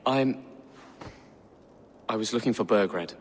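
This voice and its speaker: An actor playing a nervous young Saxon prince in a video game, speaking earnestly and hesitantly with a husky, breathy voice. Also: male voice, fairly low pitch, slow pace, long reverb tail. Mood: anxious, earnest. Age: young adult. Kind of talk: dramatic video game acting.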